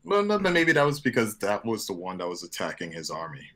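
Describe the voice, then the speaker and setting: A young man chatting casually in an office, keeping his voice low and subdued as he reviews an episode. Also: huge pitch swings, quick pace, a bit bright.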